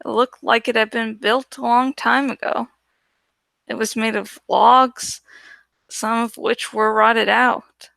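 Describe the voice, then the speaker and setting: Female audiobook narrator: higher-pitched, gravelly edges, deliberate dramatic delivery of first-person fiction. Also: steady tone, a bit bright, no echo. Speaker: audiobook reader.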